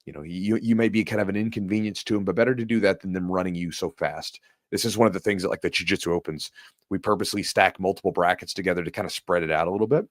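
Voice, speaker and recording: A man with a low voice tells a story in easygoing podcast chat, stumbling and repeating words as he goes. The sound is dry and close, with no room echo. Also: steady tone, quick pace. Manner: conversational, repeats words, lots of "you know". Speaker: podcast host and jiu-jitsu coach. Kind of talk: casual storytelling podcast talk.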